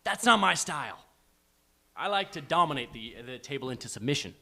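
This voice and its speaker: A man's voice: a little high, big pitch swings, brisk, vocal fry, sharp tone, full character voice. A man playing a brash, dismissive, macho barroom buddy in a live sitcom table read. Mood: brash, scornful.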